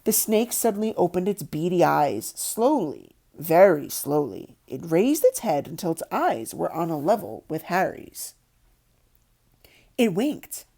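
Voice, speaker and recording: A woman narrating a children's novel aloud in an expressive storybook style. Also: fairly low pitch, a little slow, creaky. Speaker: audiobook narrator.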